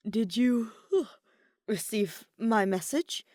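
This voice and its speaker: A woman's voice: fairly high pitch, lively intonation, slow pace, a little mellow, dry recording, clean studio sound, voice pushed up. A woman voicing an earnest, irritable young nobleman in an audiobook story, hesitant and stumbling when flustered.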